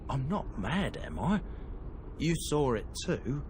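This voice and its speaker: A wry male companion, conversational and lively in pitch. His voice is mid-pitched. Clear background noise sits behind it in the game audio. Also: takes it slowly. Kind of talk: video game cut-scene dialogue.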